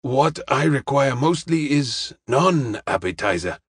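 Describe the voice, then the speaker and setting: Man acting a slow, deliberate detective. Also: medium pitch, animated, husky, no echo, studio quality. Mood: polite, firm. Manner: slow, deliberate, formally polite, drops articles. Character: courteous, serene Chinese detective, clipped deliberate speech.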